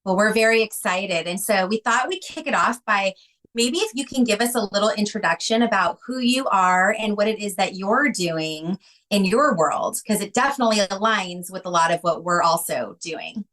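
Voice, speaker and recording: A woman's voice: medium pitch, moderate pace, fairly bright tone, no echo, rough recording. A woman co-hosting a podcast, talking in a conversational style. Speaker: podcast co-host.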